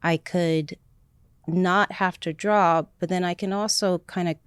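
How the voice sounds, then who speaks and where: A woman with a lower voice talks as a guest in a relaxed interview. She is warm with enthusiasm and rambles a little, filling pauses with small words. The sound is dry and close. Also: smooth, no echo. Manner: enthusiastic, rambling with many fillers. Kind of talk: casual interview chat.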